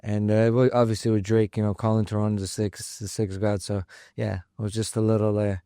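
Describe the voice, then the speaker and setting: A man in his twenties from Afghanistan, a fighter guesting in a studio, speaking calmly and reflectively with frequent pauses and fillers. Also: on the low side, clean tone, no echo. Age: 20s. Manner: calm, reflective, halting with many fillers. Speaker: mixed martial arts fighter, guest. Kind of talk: casual interview talk. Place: podcast studio.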